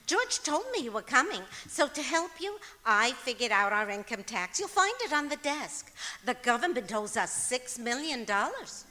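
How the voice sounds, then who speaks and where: A woman's voice: sits high, very animated, moderate pace, few pauses, bright, forceful tone, exaggerated voice. A woman playing a sweetly illogical, guileless wife in old-time radio comedy style on stage. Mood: earnest, innocent. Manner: guileless, earnest delivery of nonsense. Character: scatterbrained, sweetly illogical wife, cheerfully matter-of-fact. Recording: echoey auditorium stage performance.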